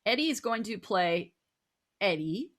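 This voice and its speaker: A woman's voice: mid-range voice, big pitch swings, unhurried, a bit bright. A woman hosting a talk show in playful, casual banter.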